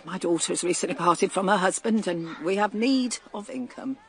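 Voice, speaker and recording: A woman's voice: fairly low pitch, moderate pace, husky. An actress playing an earnest, respectable working mother in a radio drama, deferential and slightly hesitant. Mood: earnest, anxious.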